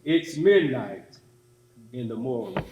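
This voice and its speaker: A man's voice: medium pitch, lively intonation, measured pace, dull audio. A man delivering an oratorical sermon, emphatic and built on rhetorical repetition, as a preacher to a congregation. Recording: echoey hall with responding congregation. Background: congregation calling out responses.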